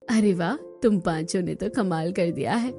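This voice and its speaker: A woman's voice: on the low side, normal speed, warm tone, soft background music, close to an everyday voice. A woman voicing an older, approving, easily amazed mother-in-law in a scripted cartoon. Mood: pleasantly surprised. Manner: wondering, approving.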